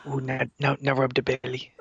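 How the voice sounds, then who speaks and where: A vain talking cat plotting world domination , acted out by a performer for a comedy podcast. It speaks in a flat, halting, meticulous monotone full of pomposity. The audio is dull and muffled. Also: mid-range voice, gravelly, lightly acted. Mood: needy, demanding. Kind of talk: improvised comedy podcast interview in character.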